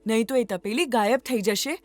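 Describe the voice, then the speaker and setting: Woman as a gracious, high-voiced magical fairy. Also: moderate pace, a little mellow, faint music behind, lightly acted. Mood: instructing. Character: gracious, wise magical fairy granting wishes. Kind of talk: children's cartoon story acting.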